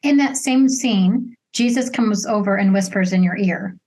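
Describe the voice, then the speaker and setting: A woman's voice: mid-range voice, a little slow, darkish tone. A woman hosting an interview in a casual, earnest, conversational way. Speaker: interview host.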